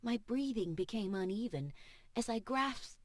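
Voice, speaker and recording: A woman audiobook narrator reading first-person fiction in an expressive, emotive and deliberate way. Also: a little high, very noisy. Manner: emotive, measured, deliberate delivery. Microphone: studio voice-over microphone.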